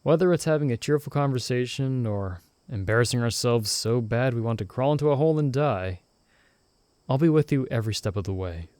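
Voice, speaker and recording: A young man voicing a loyal friend stranded in another world, who talks in a soft-spoken, gently teasing and reassuring way in an ASMR roleplay recorded close to the mic. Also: medium pitch, expressive, brisk, no room sound, natural voice. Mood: gentle, reassuring.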